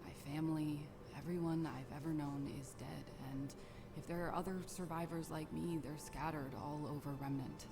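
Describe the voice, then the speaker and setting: An actress playing a guarded lone warrior in an animated fairy tale, terse, stern and reserved. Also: female voice, sits low, normal speed, music in the background. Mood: sombre, reserved. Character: guarded lone warrior woman, weary protector of villagers. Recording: noisy ambience behind the voice.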